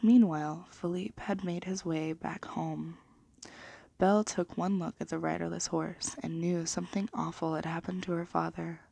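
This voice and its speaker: A woman reading a children's storybook in a gentle, hushed ASMR style, dropping into whispers. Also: lowish voice, steady tone, moderate pace, vocal fry, no room sound. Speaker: ASMR reader.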